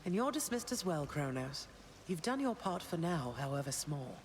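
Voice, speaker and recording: A queen of the dead, regal and motherly with a stern core. She speaks in a poised, measured, dry way, with faint ambient noise behind her. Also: low-pitched, sing-song. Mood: cool, stern. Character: regal underworld queen, loving but sternly unforgiving mother. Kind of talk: video game dramatic acting.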